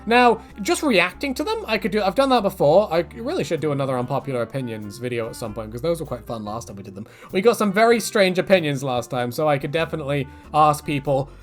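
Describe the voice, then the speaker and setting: Animated, rambling man with faint music. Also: on the high side, fast, few pauses. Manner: rambling, full of "like" fillers and restarts. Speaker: YouTube creator answering viewer questions. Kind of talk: casual rambling Q&A.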